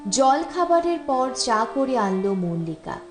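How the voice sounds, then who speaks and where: High-voiced woman narrating in an echoey room. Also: very animated, measured pace, soft background music. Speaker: audiobook narrator. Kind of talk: expressive literary story narration with acted dialogue. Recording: roomy, echoing voice recording.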